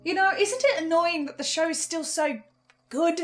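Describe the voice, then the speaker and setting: A woman playing an anxious, sardonic researcher and broadcaster, speaking in a halting, expressive, naturalistic audio-drama manner. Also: extremely high pitch. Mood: animated delight. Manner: halting, expressive, self-interrupting. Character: Edwin, anxious, sardonic researcher and broadcaster bound to fearsome powers. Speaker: podcast-style host reviewing a horror series.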